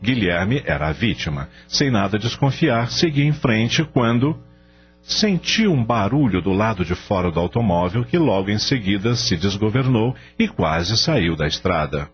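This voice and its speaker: Male storyteller for radio drama, lively intonation, slightly muffled sound with music underneath. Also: fairly low pitch, normal speed. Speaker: narrator. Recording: dull radio-like audio, music behind.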